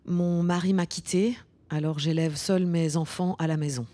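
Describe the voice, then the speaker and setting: Actress as an earnest, halting single mother. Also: on the low side, steady tone, mellow, dry recording, natural voice. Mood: hesitant. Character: earnest, indignant single mother defending her unpaid work. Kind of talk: radio drama, naturalistic speech.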